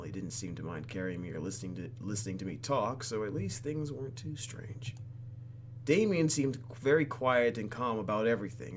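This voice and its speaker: Animated low-voiced man. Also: a little hiss or hum. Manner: joking asides, casual slang. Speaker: let's play host. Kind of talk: gameplay commentary, reading game script aloud.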